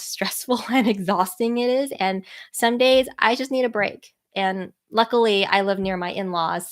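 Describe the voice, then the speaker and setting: A woman in her thirties with a cold, talking nasally as a people skills coach on a podcast live stream, earnest and encouraging. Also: medium pitch. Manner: earnest, encouraging, slowed by a stuffy nose.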